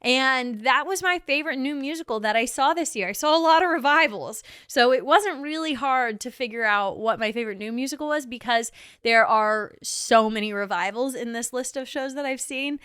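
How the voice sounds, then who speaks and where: A young woman in her twenties talks through a solo podcast episode in a gushing, chatty way, leaning on "like" as filler. Her voice sits high and comes across smooth and clear. The sound is dry and close, with no room around it. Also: moderate pace, a bit bright, no echo. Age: 20s. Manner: gushing, chatty, heavy use of "like". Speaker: podcast host. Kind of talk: casual solo podcast chat.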